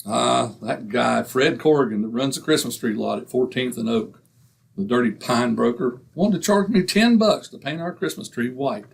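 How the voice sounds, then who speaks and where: A man's voice: mid-range voice, animated, normal speed, gravelly, slightly rough. A man acting a stubborn, penny-pinching husband in old-time radio comedy, indignant and folksy in manner. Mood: grumbling, scheming.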